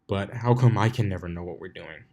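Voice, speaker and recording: A man's voice: low-pitched, a little fast, mellow. Man as a breezy, boastful young stallion pegasus. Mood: breezy, eager. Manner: casual, dude-like. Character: laid-back, boastful young male pegasus pony. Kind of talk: fan fiction character reading.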